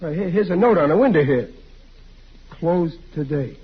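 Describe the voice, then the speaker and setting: A man's voice: fairly high pitch, expressive, normal speed, breathy edge. An actor playing a bumbling, blustering American father in an old radio comedy, stammering and easily flustered. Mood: flustered dismay. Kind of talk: 1940s radio comedy acting. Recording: muffled, hissy old radio recording.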